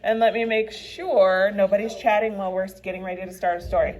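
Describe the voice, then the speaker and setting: A woman's voice: medium pitch, brisk. A woman working as a teacher and storyteller, speaking expressively and directly to her listeners as for a children's read-aloud. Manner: animated, addresses listeners directly.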